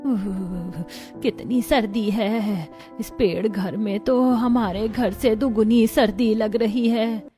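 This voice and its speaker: Worried young wife voiced by a woman, family cartoon melodrama, higher register, earnest, light music bed. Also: fairly even intonation, slow pace, dark tone. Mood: complaining. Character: worried daughters-in-law of struggling cartoon family.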